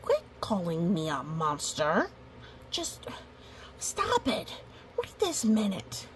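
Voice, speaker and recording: A woman's voice: on the low side, wildly swooping pitch, slow, background noise, exaggerated voice. A woman voicing an unhappy, indignant male monster from a picture book, protesting emphatically with hurt and pleading.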